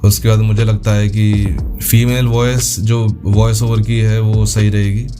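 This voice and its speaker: A man's voice: on the low side, level tone, measured pace. Informal male tutorial host over music. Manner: informal, explanatory, thinking aloud while demonstrating. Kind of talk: casual step-by-step software tutorial.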